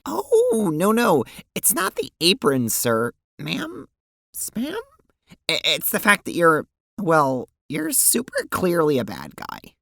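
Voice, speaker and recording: Woman acting a young witch girl, creaky, hugely animated intonation, hesitant, polite, audio drama. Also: lowish voice, slow, dry recording, full character voice. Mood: flustered.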